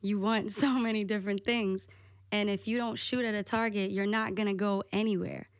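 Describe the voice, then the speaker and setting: A woman DJ guesting on a podcast, talking casually and candidly with a soft voice, peppering her talk with "like" and swearing. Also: medium pitch, fairly even intonation, normal speed, clean tone, no echo, clean studio sound. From United States. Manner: candid, lots of "like" and profanity.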